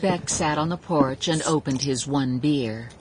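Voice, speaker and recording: A woman's voice: low voice, a little slow, noisy background. A woman playing a wry storyteller narrating a family saga in a radio drama. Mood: wry, storytelling.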